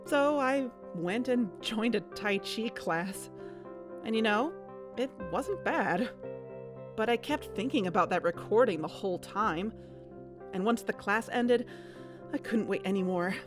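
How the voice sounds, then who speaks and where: A woman's voice: medium pitch, animated, unhurried, over music, natural voice. An actress playing an uneasy, watchful woman investigator who tells her story in a scripted audio drama. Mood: tense recounting. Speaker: narrator and lead character of an audio drama. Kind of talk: scripted first-person narration and dialogue.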